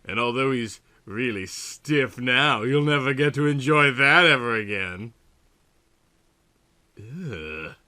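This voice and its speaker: A man's voice: mid-range voice, expressive, takes it slowly, sharp tone, dry recording. A man narrating a comic story in a hammy, dramatic, emphatic audiobook style. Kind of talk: theatrical comic audiobook reading.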